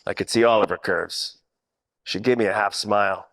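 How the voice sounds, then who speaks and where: A man's voice: on the low side, fairly even intonation, moderate pace, creaky, a bit bright. Man playing a wry 1940s private eye, reverberant. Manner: wry, hard-boiled delivery. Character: wisecracking, world-weary 1940s male private eye. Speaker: actor narrating the lead part. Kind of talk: 1940s radio detective narration, parody. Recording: long hall reverb, music underneath.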